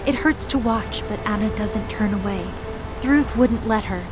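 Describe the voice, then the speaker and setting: A woman giving expressive, scripted dramatic narration as the storyteller of a fantasy audio drama. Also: mid-range voice, normal speed, over music.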